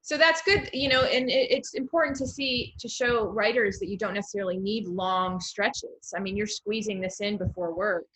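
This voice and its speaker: A woman's voice: medium pitch, normal speed, few pauses, fairly bright tone, poor audio. An American woman hosting a casual interview in a chatty, informal way. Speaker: interview host.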